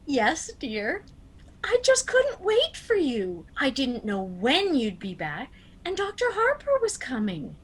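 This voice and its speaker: A woman's voice: sits high, huge pitch swings, slow, heavily acted. A woman playing a sweet elderly spinster aunt. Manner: sweet and doting. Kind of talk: amateur audio drama.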